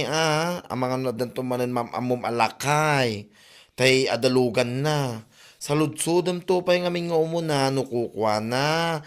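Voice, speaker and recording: A man playing an excitable, grumbling village husband in an Ilocano-accented comedy audio drama. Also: mid-range voice, smooth, lightly acted.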